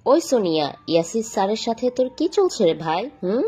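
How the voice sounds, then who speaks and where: A woman's voice: on the high side, big pitch swings, a little fast, warm tone, breathy edge. A woman playing a nosy, teasing school friend in a scripted audio drama. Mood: teasing, inquisitive. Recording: music behind the voice.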